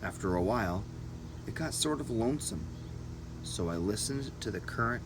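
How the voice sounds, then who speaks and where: A man narrating a first-person adventure story as an audiobook reader, in short deliberate phrases. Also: lowish voice, expressive, background noise.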